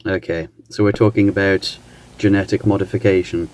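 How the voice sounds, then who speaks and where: A man hosting a reaction channel, chatting casually in a halting, musing way. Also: low-pitched, flat delivery, darkish tone, low-quality recording. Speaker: host of a reaction video channel. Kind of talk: casual chat reacting to analog horror videos.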